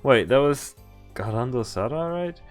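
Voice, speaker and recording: A man's voice: medium pitch, lively intonation, normal speed. A man streaming a visual novel, reading its text aloud and adding casual, amused, informal commentary. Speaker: game streamer. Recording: background music behind the voice. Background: game music.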